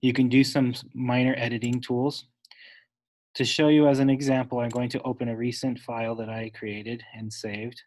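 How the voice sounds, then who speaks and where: A man giving an unscripted, instructional step-by-step software tutorial, talking wordily and correcting himself as he goes. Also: mid-range voice, level tone, moderate pace, poor audio. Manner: instructional, wordy, with self-corrections.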